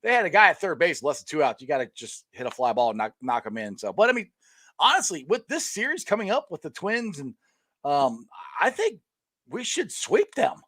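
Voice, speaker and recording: Middle-aged male sports-talk host, rambling storyteller, somewhat high voice that swings widely, dry close sound. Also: fairly quick, no room sound. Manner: rambling, animated storytelling with frequent restarts and laughs. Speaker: podcast host. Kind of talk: casual sports chat.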